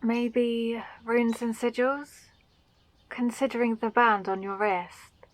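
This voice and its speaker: A woman playing a gentle, curious female mage in a fantasy roleplay, speaking politely and soothingly with old-fashioned phrasing. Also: fairly high pitch, level tone, takes it slowly, no room sound. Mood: apologetic, curious. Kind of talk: roleplay audio drama addressed to the listener.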